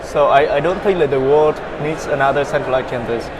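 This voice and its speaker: A young man from Vietnam answers as a founder in an interview, and he stumbles and restarts with lots of "uh" and "you know". His pitch sits mid-range for a man. Steady traffic noise and passing vehicles are clear behind him. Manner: halting, many fillers and repeated words. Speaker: startup founder, interview guest.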